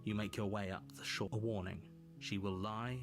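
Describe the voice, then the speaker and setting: An actor playing a stern, insistent storyteller in a video game, narrating formally and emphatically to the player. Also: male voice, fairly low pitch, level tone, moderate pace, music in the background, close to an everyday voice. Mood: grave, measured. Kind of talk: second-person game narration.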